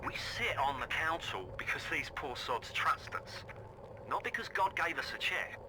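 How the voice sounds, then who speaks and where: A man's voice: on the high side, lively intonation, normal speed, background noise, close to an everyday voice. An actor playing an earnest working-class Irish man pleading for his family in a video game. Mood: frank, regretful. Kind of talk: video game voice acting over radio and audio diaries.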